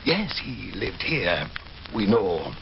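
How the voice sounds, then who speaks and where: An actor playing an obsessed, half-mad doctor with an intense, theatrical, brooding delivery in an old radio horror play. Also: male voice, lowish voice, very animated, takes it slowly, creaky, exaggerated voice. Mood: fanatical, exultant. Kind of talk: 1940s radio horror acting. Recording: muffled, noisy old radio recording.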